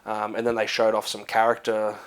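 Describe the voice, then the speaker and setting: A man's voice: fairly low pitch, monotone, fairly quick, smooth. A man with an Australian accent, co-hosting a casual movie chat, rambling with many fillers and restarts. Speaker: co-host.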